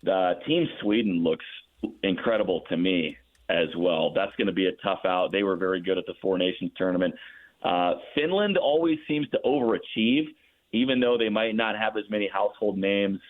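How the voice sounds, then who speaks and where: A man's voice: deep voice, moderate pace. Man on a phone-like line. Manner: enthusiastic. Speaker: radio play-by-play broadcaster as guest. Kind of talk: conversational sports analysis. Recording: narrow muffled phone-like line.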